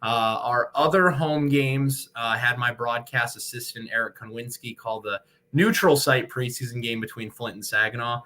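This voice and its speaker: Bright-voiced American male sportscaster. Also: mid-range voice, steady tone, normal speed. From Michigan, United States. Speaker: sportscaster, radio play-by-play broadcaster, interview guest. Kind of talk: casual interview talk.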